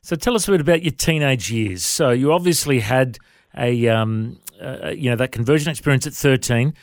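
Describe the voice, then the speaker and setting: A man's voice: medium pitch, moderate pace, dry recording, studio quality. A man hosting an interview, asking friendly prompting questions. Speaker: interview host. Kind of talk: radio interview.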